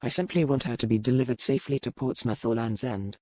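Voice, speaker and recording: A man's voice: medium pitch, quick pace, darkish tone, no echo, lightly acted. A man playing a guarded, impatient ship's captain, terse and formal, in a historical romance audiobook. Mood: curt, insistent. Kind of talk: dramatised audiobook dialogue.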